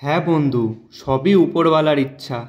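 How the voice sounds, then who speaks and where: A man's voice: on the high side, steady tone, a little mellow, highs cut off, natural voice. A man voicing an honest, principled village grocer who speaks courteously and earnestly in a moral-story cartoon. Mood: courteous, assuring. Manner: earnest, courteous, measured assurances.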